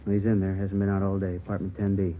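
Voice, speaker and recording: An actor playing a hurried, matter-of-fact local police detective in a 1950s radio drama. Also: male voice, low-pitched, monotone, close to an everyday voice. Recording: tinny vintage radio, faint hiss.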